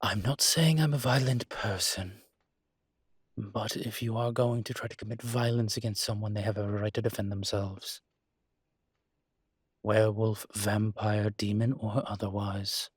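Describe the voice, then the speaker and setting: A man playing a suave, proud incubus demon in a roleplay audio drama, speaking in a husky voice with wry, articulate, emphatic phrasing. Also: on the low side, steady tone, moderate pace, dry recording, clean studio sound. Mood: indignant, protective. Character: suave adult male incubus demon, proud, wry, protective of his kind. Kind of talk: roleplay audio drama, intimate conversational monologue.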